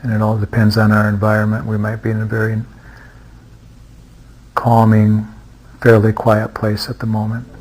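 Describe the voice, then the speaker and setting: Low, even-voiced man guiding meditation. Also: rough recording. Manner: unhurried, with long pauses and hesitant restarts. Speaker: meditation teacher. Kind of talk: guided meditation. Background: music.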